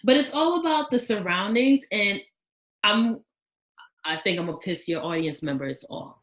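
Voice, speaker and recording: Outspoken young woman, lively. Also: medium pitch, moderate pace, rough recording. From Haitian background. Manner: outspoken, animated, acts out quoted voices. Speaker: podcast guest. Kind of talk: casual podcast conversation.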